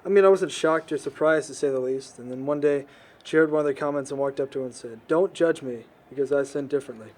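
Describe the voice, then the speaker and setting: A man's voice: mid-range voice, a little mellow, close to an everyday voice. A young man playing an earnest, reflective teenage boy in an amateur audio drama, choosing his words thoughtfully. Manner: earnest, measured wording, reflective. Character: earnest, thoughtful, non-judging teenage boy, quiet outsider.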